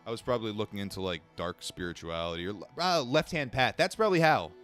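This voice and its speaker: Low-voiced man reading aloud on stream. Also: expressive, fast, a bit bright, faint music behind. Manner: casual and blunt, swears, breaks off reading to answer chat; stumbles over words while reading. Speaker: livestream book reader. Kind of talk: reading a book aloud on a livestream, chatting with viewers.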